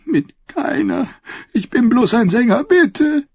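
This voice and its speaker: A man's voice: very high-pitched, lively intonation, moderate pace, creaky, darkish tone, slightly rough, no echo, dull audio, voice pushed up, exaggerated voice. A man voicing a frightened young male singer in an audiobook, pleading tearfully as he protests. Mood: sobbing, pleading.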